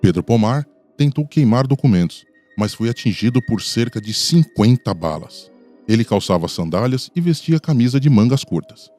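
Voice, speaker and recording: A man's voice: mid-range voice, lively intonation, moderate pace, warm tone, faint music behind. A man with a Brazilian accent reading scripted dramatic narration for a radio documentary.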